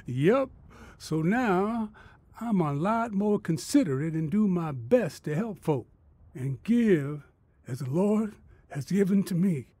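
High-voiced folksy farmer storyteller. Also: male voice, animated, slow pace, warm tone, slightly rough, no room sound, exaggerated voice. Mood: sincere. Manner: folksy rural phrasing. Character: humbled older farmer telling his conversion story, earnest and folksy. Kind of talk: radio drama acting.

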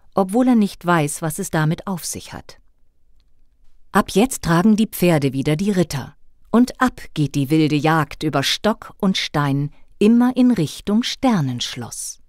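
A woman reading a scripted children's story as narrator, with expressive storytelling delivery. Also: sits low, a little slow, no room sound, clean studio sound.

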